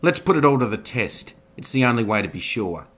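A man's voice: on the low side, brisk, fairly bright tone, pitched above the performer's own voice. A man doing a taunting female captain. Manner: taunting, cold. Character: ruthless, mocking female military captain.

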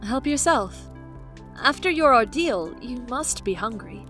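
A woman plays a kind young sage in a fantasy audio drama. Her voice is a bit high, slow and gentle, with faint music behind. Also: expressive. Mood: kind, earnest.

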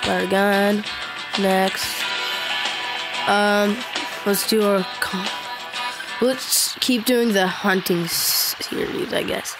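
A stuffed-up woman talks through a hunting video game at an unhurried, drawn-out pace, full of ums and sheepish little groans at her own misses. Loud game music plays under her voice the whole time. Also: mid-range voice, steady tone. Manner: hesitant, chatty, frequent ums and self-deprecating groans. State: stuffed-up nose. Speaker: gaming channel host. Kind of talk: casual let's play commentary.

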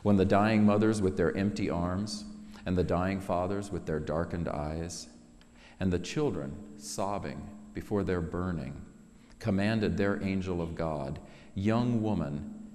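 Slow, low-voiced man reciting in a hall. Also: a little mellow. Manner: measured, dramatic pauses between short phrases. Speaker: author reading own work. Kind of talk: solemn poetry recitation. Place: large synagogue sanctuary, indoors. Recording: long reverberant hall, music behind.

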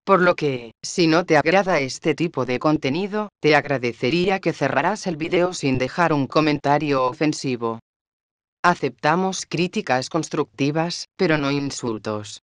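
A man's voice: fairly high pitch, normal speed, a bit bright, dry recording, clean studio sound. A man reading a scripted disclaimer as narrator.

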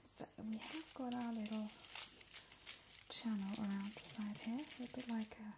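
A woman whispering softly as a playful, sinister vampire hostess in an ASMR roleplay, calling the listener darling. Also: a little high, steady tone, noisy background, close to an everyday voice. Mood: teasing. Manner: soft unvoiced whisper, slow and teasing. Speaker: ASMR host. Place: indoors at a kitchen table, making a Halloween treat. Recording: close whisper with loud crinkling wrappers and clicks. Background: crinkling packaging and clicks.

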